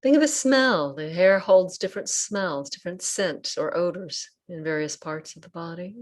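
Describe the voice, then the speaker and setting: Female meditation guide, low register, gentle delivery, short phrases with patient pauses. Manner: gentle, unhurried, pausing between short phrases. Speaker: Buddhist nun and meditation teacher. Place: online group session over video call.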